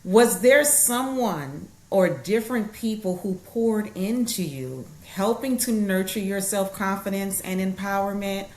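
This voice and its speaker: A woman's voice: medium pitch, measured pace, few pauses. Woman podcast host, roomy echo, keeps saying yeah and okay. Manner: affirming, frequent short agreements. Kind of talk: interview conversation.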